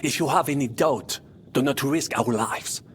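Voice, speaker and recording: An actor playing an older, boastful French knight in a video game, with a French accent and a theatrical, pompous manner that peppers speech with French. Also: male voice, medium pitch, normal speed, a little hiss or hum, close to an everyday voice. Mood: measured, wry. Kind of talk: video game dramatic acting.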